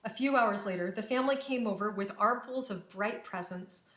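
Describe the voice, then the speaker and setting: A woman reading a children's story aloud with expressive, dramatic narration and careful phrasing, in an echoey room. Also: medium pitch, moderate pace. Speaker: storybook reader.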